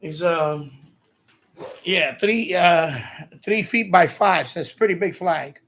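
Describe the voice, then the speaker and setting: Man, middle-aged, high-pitched voice, heavy vocal fry, informal livestream host, halting, repetitive, rambling. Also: a bit bright. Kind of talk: casual rambling livestream chat.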